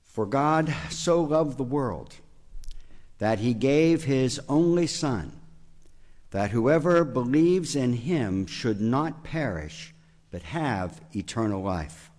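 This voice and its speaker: A man reading a Gospel passage aloud in a church service in a measured, formal way. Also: mid-range voice, animated, a little mellow. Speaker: scripture reader. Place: church sanctuary. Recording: church hall reverb, from far off.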